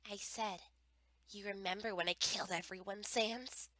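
A woman's voice: mid-range voice, fairly even intonation, moderate pace, sharp tone, dry recording, close to an everyday voice, low-quality recording. A woman voicing a smug, murderous child with a mocking, gloating manner in a comic dub. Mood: taunting. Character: taunting murderous child, smug, cruel and knowing.

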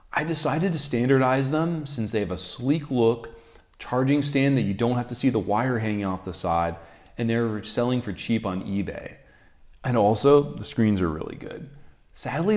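A man's voice: medium pitch, darkish tone. Man presenting a tech explainer. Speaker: tech video host. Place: quiet living room, indoors. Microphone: lapel microphone.

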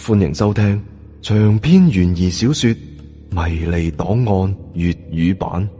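A man with a Cantonese accent narrating an audiobook in a dramatic, expressive storytelling style. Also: sits low, slow pace. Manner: expressive, acts out dialogue. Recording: music bed behind narration. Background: background music.

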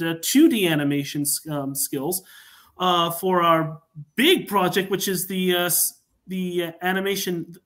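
A man talking informally as a podcast guest on a video call, in a halting, hesitant, wordy way. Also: high voice, a bit bright. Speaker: podcast guest, fan production creator. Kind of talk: informal project talk.

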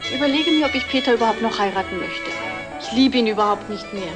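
Casting: woman playing sulky young daughter, highish, fast delivery, vintage drama with loud music behind. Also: animated, natural voice. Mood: sulky, sceptical. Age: young adult. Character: pouting, jealous young engaged daughter. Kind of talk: 1960s German TV drama acting.

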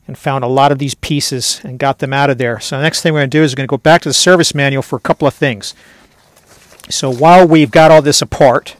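A man's voice: medium pitch, no room sound. A middle-aged man giving casual, step-by-step instructional narration as the host of a hands-on repair tutorial. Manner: conversational, step-by-step explaining.

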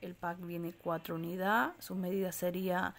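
A woman from walking through a supermarket aisle, filming on a handheld phone and reading out prices and sizes in a steady listing way. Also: lowish voice, normal speed, gravelly. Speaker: shopping vlogger. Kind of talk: walk-through product rundown. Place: indoor discount supermarket aisle. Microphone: handheld phone camera, filming while browsing.

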